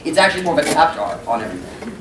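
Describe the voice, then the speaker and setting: A man's voice: a little high, sing-song, rapid-fire. A male voice actor on a convention panel, telling comedic stories and acting out the dialogue. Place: convention panel room with audience. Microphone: table microphone, recorded by far camera. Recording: roomy hall, distant mic, noisy crowd.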